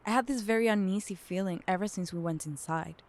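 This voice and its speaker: A woman's voice: medium pitch, fast talker, a little mellow, close to an everyday voice. A woman playing an uneasy young wife in an audio drama, hesitant and subdued. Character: uneasy young wife hiding her doubts.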